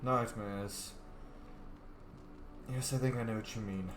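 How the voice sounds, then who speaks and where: A man's voice: lowish voice, steady tone, music in the background. Playful man commenting on a game, roomy echo. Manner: playful, jokey, quick reactions. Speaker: gamer playing and roleplaying. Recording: echoey room with faint hiss.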